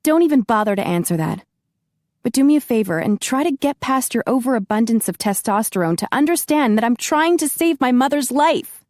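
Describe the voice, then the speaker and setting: A woman's voice: on the high side, lively intonation, a little fast, darkish tone, no room sound, studio quality, pitched above the performer's own voice, close to an everyday voice. A woman narrator voicing an indignant young woman who scolds in a heated, exasperated way. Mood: angry.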